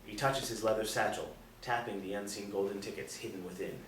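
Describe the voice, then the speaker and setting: Lowish male script narrator, echoey room. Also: steady tone, a little fast, fairly bright tone. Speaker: narrator reading stage directions. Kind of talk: scripted narration at a table read. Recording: echoey room, distant, faint noise.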